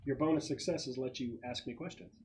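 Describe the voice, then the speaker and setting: A man running a tabletop game as game master, narrating casually and haltingly as he thinks aloud and describes things. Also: mid-range voice. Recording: noisy room recording with clicks.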